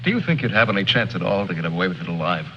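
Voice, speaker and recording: A curt, pragmatic military leader. His voice is fairly low yet lively in pitch, with exasperation in it. The old film soundtrack is dull and clearly noisy. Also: racing. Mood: firm, weary. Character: pragmatic, impatient military leader wanting to escape. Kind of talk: mid-century American film drama acting.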